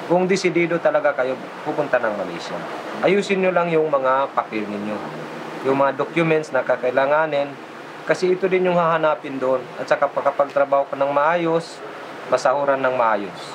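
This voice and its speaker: A man's voice: medium pitch, animated, moderate pace, background noise. A Filipino man speaks as an official in a documentary, explaining things in an instructive way.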